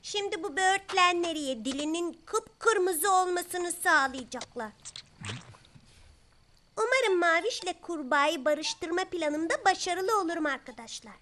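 A woman's voice: very high-pitched, expressive, measured pace, pushed and bright, a little hiss or hum, heavily acted. A woman voicing a kind, sensible little farm girl in a children's cartoon, friendly and speaking directly to young viewers. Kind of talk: animated children's cartoon dub.

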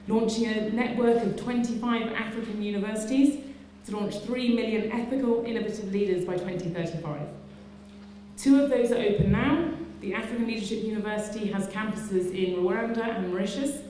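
Mellow woman speaking in an echoey hall. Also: mid-range voice. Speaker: conference host introducing a speaker. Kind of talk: prepared introduction speech. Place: large indoor conference hall, at a podium. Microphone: podium microphone on a stand. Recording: very echoey hall, faint room noise.

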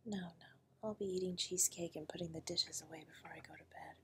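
A woman playing a tender, doting wife in an intimate comfort audio roleplay, speaking softly and breathily, often dropping toward a whisper. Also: on the low side, steady tone, fast, a little hiss or hum, close to an everyday voice. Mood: soothing, sleepy tenderness. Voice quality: soft, breathy, creaky.

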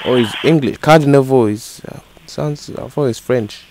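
A man acts out a bumbling, persistent uncle making a comic prank call. He misunderstands everything and repeats himself insistently. His pitch is average and the recording has clear background noise. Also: close to an everyday voice. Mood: earnest, confused. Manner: repetitive, slow on the uptake, misunderstands on purpose. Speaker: prank caller.

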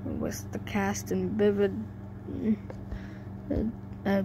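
A woman's voice: mid-range voice, huge pitch swings, slow pace, creaky. A young woman reading fan fiction aloud at home, stumbling over words as she goes. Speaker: amateur fan fiction reader. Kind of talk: halting story read-aloud. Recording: noisy home recording with hum.

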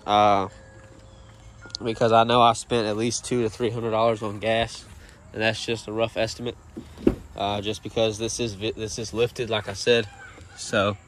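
A young man talking casually and plainly in an unscripted, rambling way, as a car vlogger filming on a handheld phone. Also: fairly low pitch. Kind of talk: casual unscripted vehicle walkaround. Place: outdoors in a driveway and at open car door. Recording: outdoor handheld recording, ambient noise.

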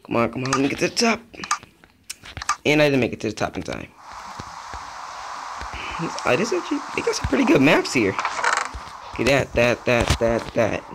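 A young man giving casual, rambling commentary over his own gameplay, chatty and easily excited. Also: medium pitch, moderate pace, vocal fry, pauses often, noisy background. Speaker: gaming youtuber.

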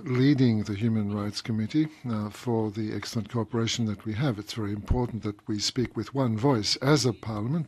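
A man interpreting a speech live into English, hesitant, with frequent pauses and fillers. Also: lowish voice, darkish tone, slightly rough. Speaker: simultaneous interpreter.

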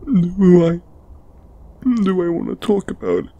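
A man playing an anxious, apologetic, lovestruck lamia flatmate in an audio roleplay, stammering and flustered. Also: high voice, no echo, muffled recording, exaggerated voice. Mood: nervous, confessing. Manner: stammering, halting, flustered, breathy sighs. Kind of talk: intimate audio roleplay acting.

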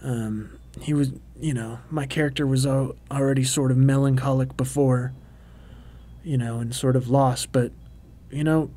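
A man in his thirties giving a rambling, relaxed behind-the-scenes commentary with quaintly formal turns of phrase, full of ums and uhs. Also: medium pitch, moderate pace. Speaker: puppeteer and co-host of a commentary track. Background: faint background music.

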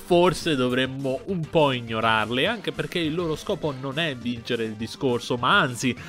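A man's voice: medium pitch, animated, moderate pace, fairly bright tone. A man narrating a casual opinion commentary voice-over in an informal, conversational way with ironic asides. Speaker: video creator and narrator. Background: music under the voice.

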